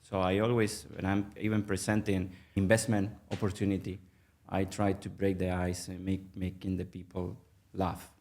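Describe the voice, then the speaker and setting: Venezuelan man, low voice, halting English. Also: completely flat delivery, darkish tone. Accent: Venezuelan Spanish. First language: Spanish. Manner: halting, many fillers and restarts. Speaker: guest, mergers and acquisitions manager. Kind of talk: casual interview.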